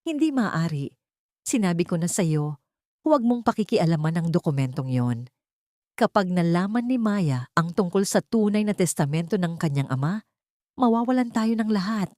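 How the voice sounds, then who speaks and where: A woman's voice: fairly low pitch, lively intonation, moderate pace, a little mellow, no echo, studio quality, close to an everyday voice. A woman voicing an anxious, secretive old woman in an audio drama story. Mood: agitated. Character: anxious, secretive old mother-in-law, fearful.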